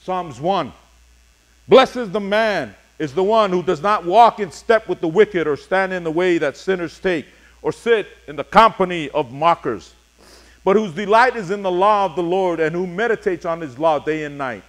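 A middle-aged man preaching a sermon as pastor, reading scripture and speaking deliberately in short, paused phrases. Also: high voice. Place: church sanctuary, speaking from a pulpit on stage.

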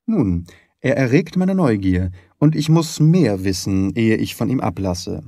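A man voicing a shrewd, confident, courteous gentleman detective in an audiobook, formal, articulate and lightly patronising. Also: fairly low pitch, big pitch swings, measured pace, dry recording, clean studio sound, pitched below the performer's own voice, heavily acted. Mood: curious, resolute. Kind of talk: audiobook character reading.

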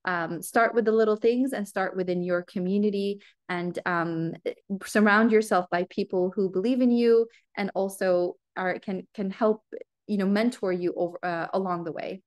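A woman's voice: medium pitch, steady tone, normal speed. A Lebanese American woman in her thirties answering seminar questions over a video call, earnest, with many ums and restarts. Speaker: guest speaker. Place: home room.

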